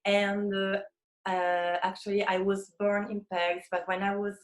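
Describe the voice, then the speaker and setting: A woman from Italy with an Italian accent, a photographer talking in an interview and pausing often to find her words. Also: medium pitch, flat delivery, roomy sound. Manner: hesitant, searching for words with many fillers. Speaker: photographer, guest.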